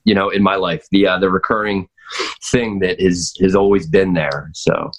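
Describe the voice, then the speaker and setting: A man's voice: deep voice, steady tone, normal speed, rough recording. An American man in his forties chatting casually as a podcast guest, hesitant and easygoing as he reminisces. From North Wales, Pennsylvania, United States. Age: 40s. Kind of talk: casual chat.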